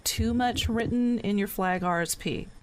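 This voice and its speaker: A woman's voice: medium pitch, measured pace, noisy background. Female podcast host, mid-range voice, conversational interviewer.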